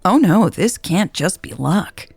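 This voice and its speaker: A friendly, flirty woman customer from a romance audiobook , as a female narrator plays her. She is chatty and eager, with a husky, gravelly edge to her voice. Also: fairly low pitch, expressive, takes it slowly, darkish tone, no room sound, faint music behind, voice pushed up, full character voice. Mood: enthusiastic. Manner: chatty, enthusiastic.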